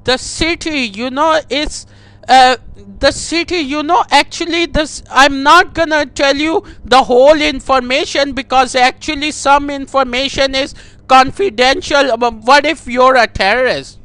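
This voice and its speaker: Very high-pitched man making a prank call. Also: steady tone, sharp tone, dry recording. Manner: halting, coy, playfully over-affectionate. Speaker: prank caller. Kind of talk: comedic prank phone call.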